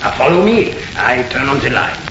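An actor playing an eager, fawning French curiosity shopkeeper with a French accent and coaxing, halting English, in 1930s radio drama style. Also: male voice, medium pitch, big pitch swings, background noise. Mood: excited, urging. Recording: muffled, echoey, old radio recording.

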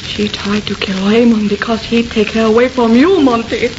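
A woman's voice: a little high, fairly even intonation, normal speed, natural voice. A woman playing a devoted, anxious young lover, melodramatic and earnest in an old radio horror play. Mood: frightened. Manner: melodramatic, earnest, broken English. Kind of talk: 1930s radio horror acting. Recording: muffled, hissy old radio recording.

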